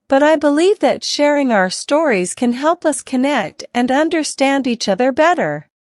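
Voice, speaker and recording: A woman reading a scripted self-introduction slowly and clearly for learners to repeat. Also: high voice, very animated, dry recording, clean studio sound. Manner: clear, deliberate, evenly paced delivery. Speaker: English teacher reading a model speech. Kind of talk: slow scripted reading for repetition practice.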